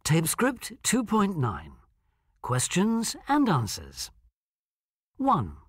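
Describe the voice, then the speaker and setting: A woman narrating coursebook audio, announcing track numbers slowly and deliberately. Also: on the low side, huge pitch swings, no room sound, clean studio sound. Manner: slow, deliberate, clear announcing. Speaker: narrator reading audio scripts.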